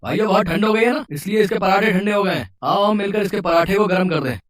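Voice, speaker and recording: A cruel, drunken young bully acted out by a man in a storybook tale. He is arrogant and taunting, with a high male voice and aggressive street talk. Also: fast, noisy background, lightly acted. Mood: angry, threatening. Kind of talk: Hindi moral story voice acting.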